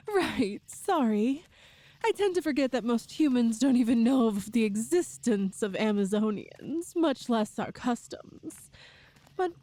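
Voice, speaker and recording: A woman playing a tall, strong, cheerful and doting Amazonian woman in an ASMR roleplay, speaking gently in slightly formal phrases. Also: sits high, no room sound, full character voice. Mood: earnest, puzzled. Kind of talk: ASMR roleplay audio drama.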